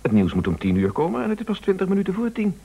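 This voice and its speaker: An actor in a Dutch radio play playing a fussy, obstinate husband with an insistent, indignant, bossy way of talking. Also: male voice, sits high, huge pitch swings, racing, vocal fry, warm tone, breathy edge, full character voice. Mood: agitated, bossy.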